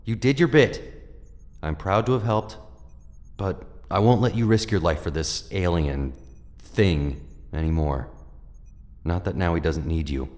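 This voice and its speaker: A man's voice: deep voice, a little slow, pauses often, a little mellow. A man playing a stern, protective father in an audio drama, blunt and commanding. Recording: long hall reverb.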